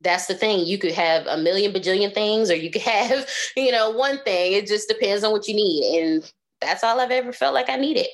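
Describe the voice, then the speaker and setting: Woman, medium pitch, casual conversation, informal animated delivery, frequent "like" and "you know". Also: moderate pace. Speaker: guest, astrologer and card reader.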